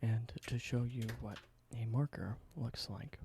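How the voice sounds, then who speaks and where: A young man doing a soft, halting ASMR whisper, slowly explaining everyday objects in simple words. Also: mid-range voice, fairly even intonation, creaky, slight background noise. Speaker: ASMR creator.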